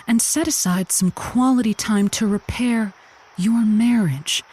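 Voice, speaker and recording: A woman's voice: a little high, unhurried, darkish tone, husky. A woman playing an earnest, sympathetic radio advice show hostess, speaking in a polite, slightly formal, tactful way. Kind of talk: call-in advice show, improvised comedy.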